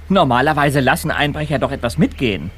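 A man's voice: on the high side, animated, moderate pace, fairly bright tone, slightly rough. An actor playing a teasing, skeptical teenage boy detective with a dry, needling manner in a German audio drama. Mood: skeptical, casual.

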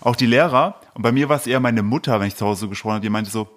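A man's voice: mid-range voice, rapid-fire. A young man from eastern Germany co-hosting a casual podcast chat, hesitant and full of fillers while he teases his co-host. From Thuringia, eastern Germany. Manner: hesitant, many fillers, teasing.

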